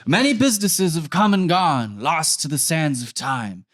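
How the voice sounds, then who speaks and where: Man acting out ageless skeletal waiter, solemn, ominously grand, archaic formal delivery, raised pitch, sketch comedy. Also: lively intonation, normal speed, a bit bright. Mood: grave, portentous. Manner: portentous, archaic formal speech. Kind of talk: comedy sketch table read.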